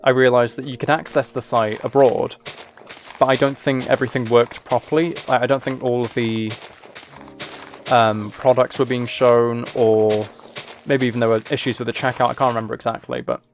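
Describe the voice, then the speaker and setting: A man's voice: medium pitch, flat delivery, normal speed, soft background music. A man chatting casually on a game livestream, rambling and thinking aloud as he plays. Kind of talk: casual chat while playing a game.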